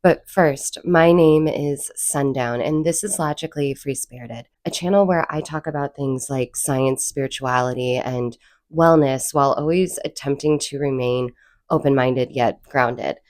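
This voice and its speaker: A woman's voice: extremely low pitch, measured pace, darkish tone. A woman with a cold and a froggy voice, hosting her own channel and telling stories in a chatty, rambling way with self-deprecating asides. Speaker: channel host. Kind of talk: casual storytelling vlog.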